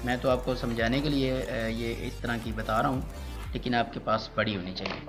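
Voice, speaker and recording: A man's voice: medium pitch, steady tone, normal speed. A man giving a casual, step-by-step how-to demonstration, repeating his instructions and addressing viewers as friends. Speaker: electrician giving a tutorial. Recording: loud music behind the voice.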